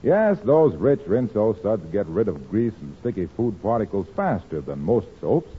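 Male vintage radio announcer, emphatic pitchman, very lively intonation, tinny phone-like recording. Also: moderate pace, husky. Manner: polished, emphatic salesman delivery. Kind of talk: 1940s radio ad read and scripted narration. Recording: muffled scratchy old-time radio recording.

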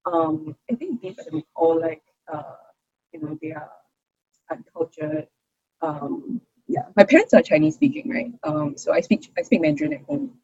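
A young woman from Singapore with a Singaporean English accent, chatting expressively as an interview guest, with frequent fillers and quick laughs. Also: sits low, expressive, dark tone, husky, dry recording, lo-fi sound. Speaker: interview guest, hawker's daughter. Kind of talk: casual interview conversation.

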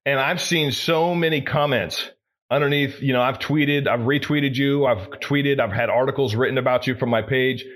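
A man's voice: medium pitch, moderate pace, fairly bright tone. A man in a mid-range voice hosting an interview podcast. He speaks with eager enthusiasm, praising and agreeing with his guest. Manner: enthusiastic and affirming. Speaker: podcast host.

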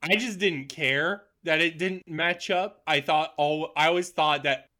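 Bright, higher-voiced man chatting casually. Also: measured pace. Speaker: podcast host. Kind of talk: casual film discussion.

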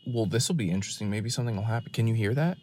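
A man giving a rambling, deadpan and self-mocking running commentary from a parked car, recorded on his phone. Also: on the low side, rapid-fire, slight background noise. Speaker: podcast host. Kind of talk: rambling off-the-cuff monologue. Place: parked car in a store parking lot.

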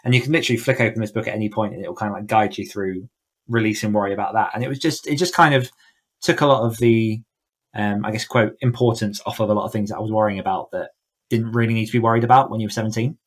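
A man's voice: lowish voice, steady tone, quick pace. A man speaking casually as an interview guest, talking in an enthusiastic, effusive way. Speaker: podcast guest, horror author and coach.

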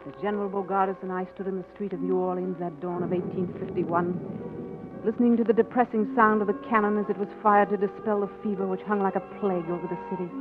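An actress playing an earnest, anxious Southern aunt in a 1940s radio drama, speaking with dramatic gravity. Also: female voice, medium pitch, close to an everyday voice. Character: anxious Southern aunt, grave and reflective narrator. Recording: tinny vintage radio, orchestral music behind.

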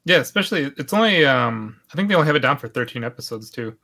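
A man in casual podcast chat, animated and sarcastic as he rants. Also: mid-range voice, fast talker, gravelly. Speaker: podcast co-host.

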